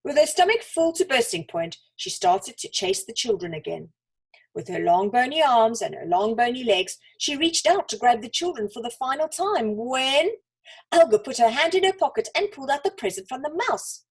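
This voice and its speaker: Expressive, husky woman storyteller. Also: a little high, rough recording. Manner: dramatic, with deliberate pauses and emphasis. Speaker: storyteller reading to children. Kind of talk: expressive children's story narration.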